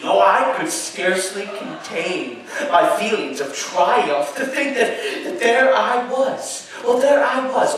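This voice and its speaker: A male stage actor playing a nervous, gloating murderer in a theatrical gothic horror monologue, halting and stammering as he insists he is sane. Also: high-pitched, a bit bright, heavily acted. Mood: gleeful, gloating. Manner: halting, stammering, drawn-out phrases with sudden emphasis. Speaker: stage actor performing a dramatic reading. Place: live performance space at an amusement park show. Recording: echoey live show, distant mic, faint noise.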